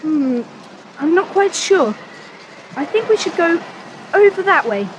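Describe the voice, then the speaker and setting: A performer acts out a friendly, careful young schoolboy in a children's adventure drama. The voice is high and very lively in its pitch, earnest and well-spoken. Faint outdoor noise sits behind it. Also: a little slow, exaggerated voice. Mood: eager, cautious. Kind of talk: children's audiobook drama acting.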